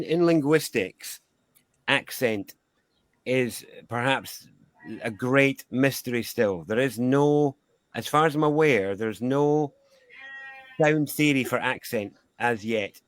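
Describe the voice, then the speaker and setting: Scottish male podcast guest, thoughtful and unhurried, mid-range voice, dry booth sound. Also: mid-range voice, no room sound. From Scotland. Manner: reflective, musing, slow pauses mid-thought. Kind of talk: casual podcast conversation.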